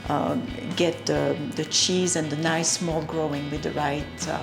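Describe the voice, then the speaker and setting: A woman from Belgium with a Belgian French accent, explaining how her cheese is made in a halting way, with many fillers and restarts. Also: low voice, little pitch movement, gravelly, music in the background. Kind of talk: casual explanation during a tour.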